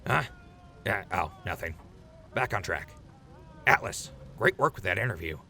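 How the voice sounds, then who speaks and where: A man plays an easygoing radio show leader in an audio drama. His voice is lowish and creaky, with a bright, forceful edge. He is glib and upbeat, always ready with a wisecrack. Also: sing-song, moderate pace, full character voice. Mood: wry.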